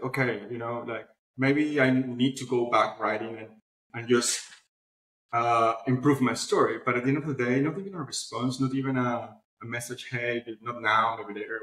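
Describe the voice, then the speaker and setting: A man's voice: mid-range voice, fairly bright tone. Man in an echoey room, chatting casually. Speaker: interview guest, publishing platform founder. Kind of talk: casual conversational interview answers. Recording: roomy, echoey sound.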